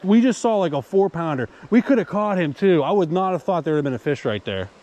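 A man chatting casually and excitably in slang as he hosts a fishing vlog outdoors on an action camera. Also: high voice, quick pace. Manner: excitable, slangy, says dude and man often. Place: outdoors at a wooded creek. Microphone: action camera worn or held by him. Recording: outdoor recording with background noise.

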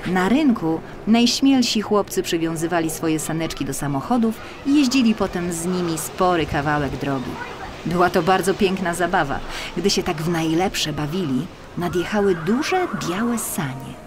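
A woman reading a scripted children's story as an audiobook narrator, with expressive, deliberate phrasing. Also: sits low, noisy background. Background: music.

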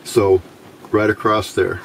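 A middle-aged man giving an informal hands-on tutorial in halting short phrases, pausing often as he explains. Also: on the low side, rough recording. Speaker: electronics hobbyist demonstrating a homemade circuit. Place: indoors at a workbench. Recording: steady clear background noise.

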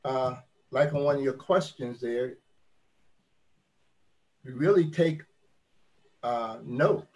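A man's voice: medium pitch, a little mellow. A man in his sixties, still working, speaking as a panelist on a video call from a home study in a thoughtful, folksy way. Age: 60s.

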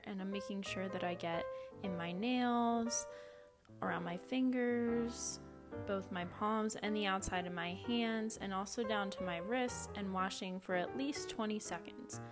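A woman's voice: medium pitch, a little slow, over music. A woman in her twenties presenting a cooking lesson for children, narrating step by step in simple instructions. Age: 20s.

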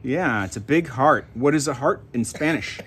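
A man's voice: mid-range voice, animated, moderate pace, a bit bright, slightly rough. A father chatting and reading casually with a toddler, playfully prompting with simple questions. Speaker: father reading to his young daughter. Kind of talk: casual read-aloud and chat with a toddler. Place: carpeted room at home.

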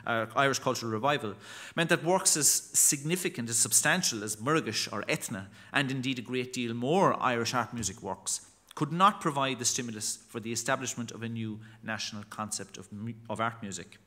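Man, 30s, lecturer at a chapel lectern mic; bright, measured, formal delivery of a read paper. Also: mid-range voice. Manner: formal, measured reading with occasional restarts. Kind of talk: reading a prepared academic talk. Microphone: lectern microphone.

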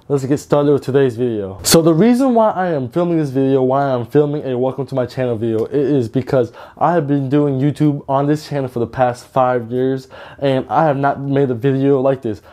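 A man's voice: medium pitch, steady tone, brisk, warm tone. A man in his twenties from Georgia, introducing his channel to camera in an upbeat, chatty way, using slang and repeating himself. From Georgia, USA. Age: 20s. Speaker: YouTuber. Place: bedroom with lit shelves, indoors.

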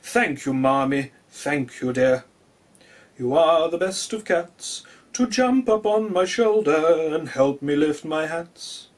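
A man voicing a polite, cheerful, eccentric storybook gentleman in a courteous, jaunty, emphatic manner. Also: a little high, expressive, takes it slowly. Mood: courteous, grateful.

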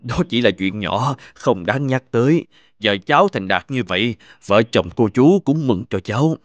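A man's voice: medium pitch, expressive, no echo, dull audio, lightly acted. A modest elderly restaurant owner, voiced by a man for a cartoon. He speaks humbly and kindly, without hurry, in a dry studio sound. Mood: humble. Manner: unhurried, humble.

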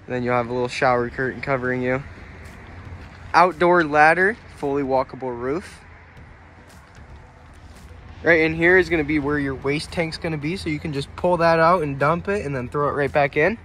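A young man giving a relaxed, plainspoken walkaround tour of a small camping trailer, filmed on a handheld phone outdoors and then inside. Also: fairly high pitch, normal speed, long pauses, slight background noise. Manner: relaxed, plainspoken, pointing things out as he goes.

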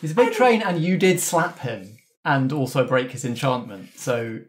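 A man running a tabletop game as game master, explaining rules plainly in a relaxed way. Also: mid-range voice, moderate pace.

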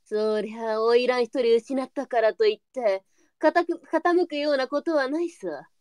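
A woman's voice: fairly high pitch, unhurried, a little mellow, no room sound, close to an everyday voice. A woman playing a pragmatic, brusque brothel mistress in a live period voice drama, with a blunt and knowing way of talking. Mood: matter-of-fact. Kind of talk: online live voice drama acting.

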